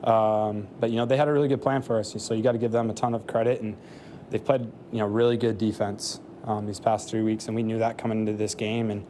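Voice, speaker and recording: Young athlete at the podium, soft-spoken, mellow low voice, lots of fillers. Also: male voice, level tone, quick pace, a little hiss or hum. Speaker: football player at a press conference. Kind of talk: postgame press conference answers.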